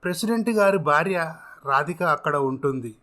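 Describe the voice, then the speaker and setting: A man's voice: on the high side, lively intonation, normal speed, pushed and bright. A man narrating a scripted moral story in a storytelling style.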